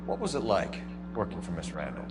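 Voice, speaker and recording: A man's voice: sits low, wildly swooping pitch, a little fast, over loud music, exaggerated voice. A man playing a stern 1940s police detective, questioning a witness formally and probing for answers in a video game scene.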